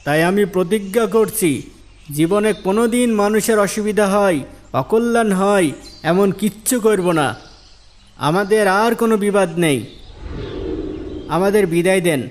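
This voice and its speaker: A man's voice: high-pitched, normal speed, long pauses, lightly acted. A man playing an earnest, respectful villager in a moral cartoon, explaining himself politely. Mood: earnest, grateful. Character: earnest, respectful male villager seeking a judge's ruling. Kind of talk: Islamic moral cartoon dialogue.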